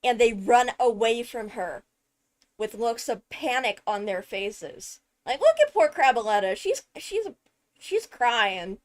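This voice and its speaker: Female video creator for casual analysis: slow overall pace, hugely varied intonation, chatty thinking-aloud delivery. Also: a little high, big pitch swings. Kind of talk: casual excited analysis commentary. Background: loud music from the video under commentary.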